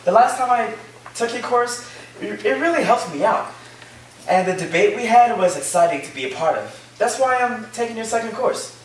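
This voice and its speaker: A man's voice: high voice, animated, normal speed. A man playing a friendly, chatty young college student in a staged reading, casual and a little hesitant. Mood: amiable. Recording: echoey, distant room recording with faint noise.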